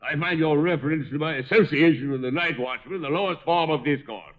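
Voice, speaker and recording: A man's voice: on the high side, a little fast, lightly acted. Pompous, haughty rich collector played by a man. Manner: pompous, formal, disdainful. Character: haughty, irritable rich male art collector. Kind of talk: 1950s radio detective drama acting. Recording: dull band-limited old radio recording.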